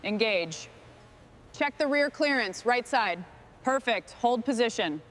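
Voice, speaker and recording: A woman leading a restoration crew, giving brisk, clipped instructions. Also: on the high side, animated, fairly bright tone, slight background noise. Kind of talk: scripted work commands.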